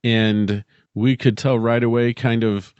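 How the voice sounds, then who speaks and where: A man's voice: medium pitch, fairly even intonation, a little slow, darkish tone, clean tone, no room sound. A middle-aged man chatting reflectively as a podcast co-host. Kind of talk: casual reflective chat. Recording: dry, slightly dull close recording.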